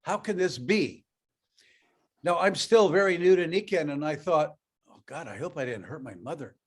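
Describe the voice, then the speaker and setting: An older man with a slightly higher voice than most tells a personal story to an audience. He grew up speaking Ukrainian and talks in a loose, conversational way with plenty of fillers. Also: lively intonation, normal speed, breathy edge. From Europe, raised in North America. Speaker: network marketing speaker.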